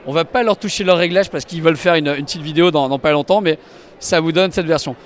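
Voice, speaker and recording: Higher-pitched man giving an enthusiastic demo. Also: fast. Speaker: company representative demonstrating products at a trade show. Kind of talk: live walk-through product demonstration to camera. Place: trade show stand and inside a car.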